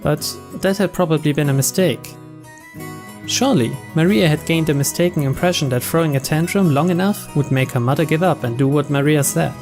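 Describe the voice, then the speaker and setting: A man's voice: on the high side, brisk, darkish tone, faint music behind. A man reading a story aloud as scripted narration for a game playthrough.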